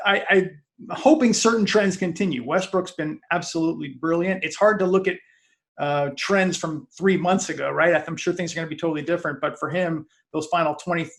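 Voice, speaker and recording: A man with a fairly high, noticeably creaky voice talks sports casually as a show guest. His phrasing is halting and hesitant as he gives his views. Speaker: sports fan-site founder, guest.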